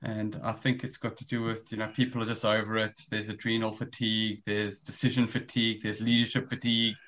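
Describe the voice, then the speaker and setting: A man's voice: on the low side, level tone, a little fast, fairly bright tone. South African man explaining at length. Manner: talks in long explaining runs with restarts. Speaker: guest, risk business founder. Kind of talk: conversational interview.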